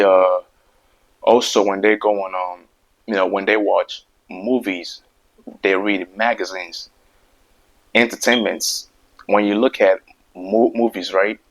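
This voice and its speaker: A man's voice: deep voice, low-quality recording. A man hosting his own video who stutters and repeats words, making emphatic points over and over in a casual monologue. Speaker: video host. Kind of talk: casual opinion monologue to camera.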